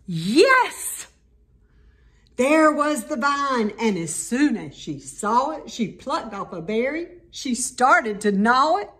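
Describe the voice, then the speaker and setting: A woman's voice: sits high, very animated, slow, a bit bright. A woman reading a rhyming children's story aloud with dramatic, emphatic expression. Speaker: storybook reader.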